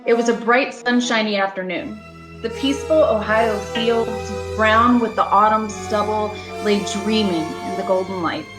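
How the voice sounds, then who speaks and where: A woman's voice: medium pitch, unhurried, close to an everyday voice, poor audio. A woman playing an uneasy, haunted motorist driving alone, telling her story dramatically in an amateur radio thriller. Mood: uneasy, reflective. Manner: dramatic first-person storytelling. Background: music.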